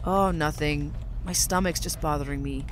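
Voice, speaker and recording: A woman's voice: low voice, normal speed, slight background noise, natural voice. A woman playing a gleeful, sinister female alien posing as an office worker in an audio drama, with a mock-innocent, taunting delivery. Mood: feigned innocence.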